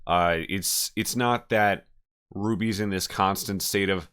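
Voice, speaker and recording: A man hosting a reaction video with casual, unscripted commentary, halting and emotive, often repeating his phrases. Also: low voice, steady tone, a bit bright, smooth.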